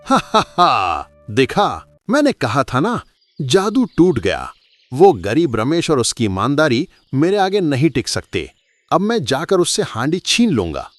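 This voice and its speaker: A man playing an arrogant, greedy rich merchant villain who mocks and bullies in an animated moral story. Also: a little high, a little slow, no echo. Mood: gloating, mocking. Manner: mocking, bullying, gloating.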